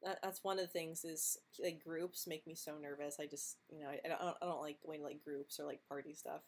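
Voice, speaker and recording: A young woman who stutters talks in a casual live-stream chat, her voice sitting a little low. She speaks softly, often repeating the first sounds of words, and laughs lightly between answers. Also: fairly even intonation, fairly quick. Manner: stutters with frequent sound and word repetitions. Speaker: vlogger answering viewer questions live.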